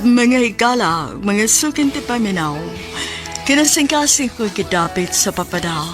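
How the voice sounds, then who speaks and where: A woman radio host who introduces each episode in a slow, measured, formal style. Faint music plays beneath her voice. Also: mid-range voice. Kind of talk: radio program hosting.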